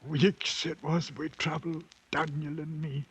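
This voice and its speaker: An actor playing a broken, half-mad British ex-soldier in a 1950s radio drama, halting and rambling in a working-class British accent. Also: male voice, a little high, flat delivery, a bit bright, exaggerated voice. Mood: haunted. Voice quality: husky, frail. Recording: muffled vintage 1950s radio broadcast.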